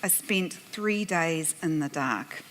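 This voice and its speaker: A woman's voice: deep voice, lively intonation, slow pace, heavy background noise. A woman with a New Zealand accent, moderating a conference panel and telling a humorous, self-deprecating story to the audience with frequent laughs. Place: conference plenary room.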